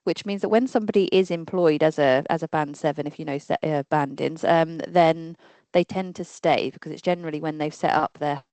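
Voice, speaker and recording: A woman with a British accent, a speech and language therapist answering questions at a board meeting in a plain, halting way with fillers. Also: low voice, darkish tone, dry recording. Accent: British English. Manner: plain-spoken, with frequent fillers and self-corrections.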